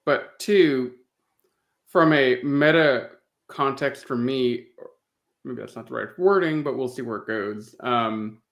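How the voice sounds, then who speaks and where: Mid-pitched man, casual podcast host. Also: takes it slowly, a bit bright. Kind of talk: casual review chat.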